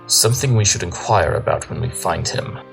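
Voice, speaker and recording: A man's voice: sits low, animated, brisk, gravelly, faint music behind, heavily acted, low-quality recording. A man playing an eccentric, self-assured pony detective in a cartoon audio drama, articulate, formal and cheerfully dry. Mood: matter-of-fact.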